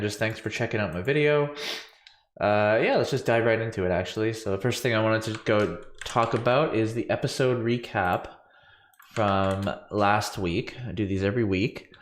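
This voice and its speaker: A man in his thirties with a lowish voice talks through his coding project, stuffy from allergies and full of ums and restarts. He speaks into a big condenser mic in a small home room with a roomy echo. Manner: rambling, filler-heavy, self-correcting. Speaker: coding livestream host. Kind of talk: casual screen-share coding walkthrough. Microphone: large studio condenser mic on boom arm.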